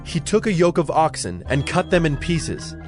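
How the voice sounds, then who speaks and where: A man narrating scripture from a script as a solemn, measured storyteller. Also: a little high, very animated, soft background music.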